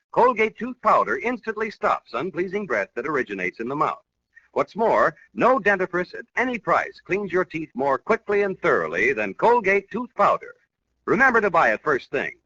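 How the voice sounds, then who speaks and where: A man's voice: high-pitched, sharp tone, no echo. A man with an American accent reading a 1940s radio commercial in an emphatic, persuasive way. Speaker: commercial announcer. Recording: dull old radio recording, dry.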